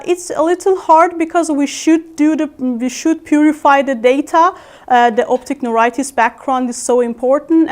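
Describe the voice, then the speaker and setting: A woman researcher with an Iranian accent and a fairly high voice gives an informal scientific presentation. She speaks haltingly, often hesitating and repeating words as she explains. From Iran. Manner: halting, with frequent hesitations and repetitions. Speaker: postdoctoral researcher presenting posters.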